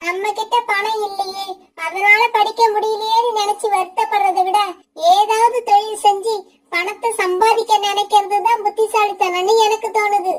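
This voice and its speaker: A woman's voice: very high-pitched, little pitch movement, moderate pace. A woman voicing a hardworking, hot-tempered young male sparrow in a cartoon, speaking earnestly and firmly.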